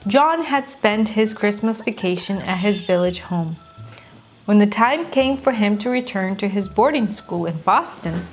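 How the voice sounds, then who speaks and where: Slow, high-voiced woman reading bedtime stories. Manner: deliberate, dramatic pauses, addressing young listeners. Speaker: host and storyteller. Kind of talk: slow, expressive children's bedtime story reading.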